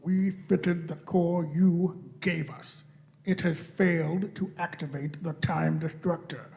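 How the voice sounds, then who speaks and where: A man's voice: fairly high pitch, steady tone, takes it slowly, creaky, darkish tone. A man voicing a cold, commanding alien machine leader in a sci-fi radio play, making grating, imperious pronouncements. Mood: accusing.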